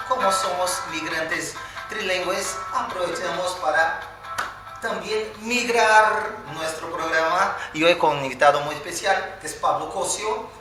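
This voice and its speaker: A man from Spain hosting a live interview, asking long, rambling questions. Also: sits high. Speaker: host. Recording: echoey room, far from mic.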